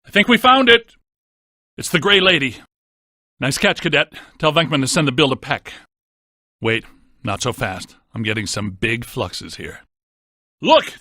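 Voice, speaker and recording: A man playing a brainy, deadpan scientist ghost hunter in a video game, with precise technical wording. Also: fairly high pitch, no room sound, studio quality, exaggerated voice. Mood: urgent, alarmed. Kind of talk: scripted video game voice acting.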